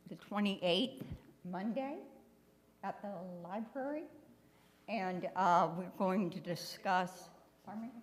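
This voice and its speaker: A woman from the community making a loud, plain-spoken public comment, inviting people to a meeting. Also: mid-range voice. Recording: reverberant hall.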